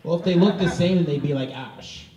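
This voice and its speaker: A man's voice: fairly high pitch, a little fast, highs cut off, music in the background. A man on a fan convention panel, ribbing the others in playful banter. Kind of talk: casual banter. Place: large convention hall with audience. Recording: echoey hall, far off, crowd noise.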